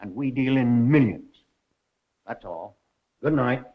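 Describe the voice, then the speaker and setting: A man's voice: medium pitch, slow. An actor playing a haughty, indignant wealthy businessman in a 1930s film, stiff, pompous and threatening. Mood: indignant, cold. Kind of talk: 1930s Hollywood film acting. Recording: dull 1930s film soundtrack.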